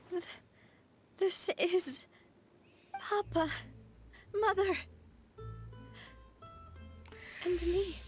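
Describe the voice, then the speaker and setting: Young female game leader, high voice, unhurried, hesitant, heartfelt, music underneath. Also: steady tone, exaggerated voice. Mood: stunned, tender. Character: young female organization boss, heartfelt and shaken. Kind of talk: video game voice acting. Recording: background music.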